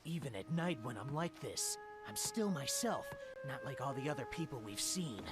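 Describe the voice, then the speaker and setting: A man's voice: medium pitch, very animated, normal speed, natural voice. A man voicing a brave, easygoing young hedgehog hero in a video game cutscene, breezy and confident. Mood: curious, earnest. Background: loud game music.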